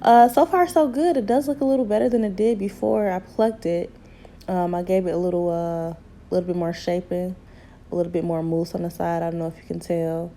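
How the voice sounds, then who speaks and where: A young woman in her twenties, hoarse from a cold, chatting casually through a hair tutorial and calling her viewers ladies and honey. She is recorded in a small bedroom on the camera's own mic. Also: lowish voice, steady tone, fairly quick, mellow, slight background noise. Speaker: beauty vlogger. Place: small bedroom at home, indoors. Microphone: camera mic, seated close in front.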